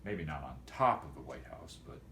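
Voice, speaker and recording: A man speaking as a teacher and host of a homemade video for students, in a folksy, wordy, deliberate way. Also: a bass voice, very animated, fairly bright tone, reverberant, a little hiss or hum. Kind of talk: casual educational vlog for students, with read-aloud.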